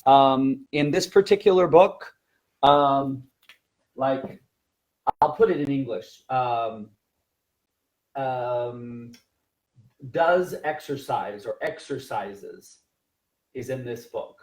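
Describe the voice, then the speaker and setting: A man's voice: mid-range voice, takes it slowly, pauses often, a little mellow, low-quality recording. Man explaining things in a teaching webinar. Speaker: language teacher and trainer.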